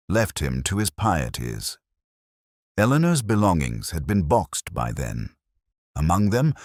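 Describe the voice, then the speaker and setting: A man playing a brooding, melancholy detective, narrating his case in a measured, literary, reflective way. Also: extremely low pitch, no echo, studio quality, full character voice. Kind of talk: scripted first-person detective narration.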